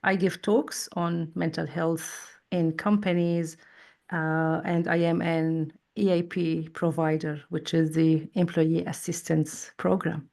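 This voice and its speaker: A woman speaks slowly and deliberately. Her voice sits a little low, and she weighs her words as a thoughtful interview guest. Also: little pitch movement, creaky, dark tone. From Lebanon. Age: 40s. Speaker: psychologist guest. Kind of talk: interview conversation.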